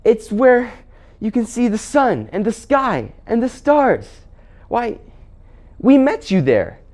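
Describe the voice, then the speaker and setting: A man's voice: extremely high pitch, big pitch swings, slow, darkish tone, voice pushed up, full character voice. A man voicing a brash, indignant, exasperated schoolboy.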